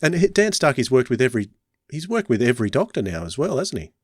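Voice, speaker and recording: A man's voice: medium pitch, sing-song, quick pace, breathy edge, no echo, studio quality. An Australian man hosting a podcast in casual conversation, thinking aloud as he talks. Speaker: podcast host. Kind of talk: casual chat.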